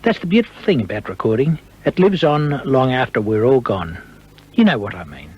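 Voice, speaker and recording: A man's voice: medium pitch, very animated, fairly quick. A man working as an interviewer, putting prepared questions in a radio interview style. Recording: dull, lo-fi archival interview tape.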